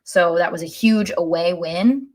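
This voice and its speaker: A woman's voice: lowish voice, measured pace, darkish tone. An American woman co-hosting a sports podcast, chatting conversationally about the game. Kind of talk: conversational soccer podcast discussion.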